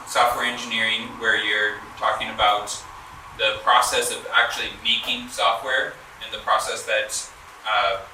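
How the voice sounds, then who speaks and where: A young man with a Southern accent speaks at an event, his tone very bright and forward, sprinkling his talk with filler sounds. He is heard from a distance in a very echoey hall with faint background noise. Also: on the low side. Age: 20s. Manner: relaxed, many filler uhs, loses his thread. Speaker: student speaker at an education fair. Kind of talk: presentation to an audience. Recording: echoey room from far off.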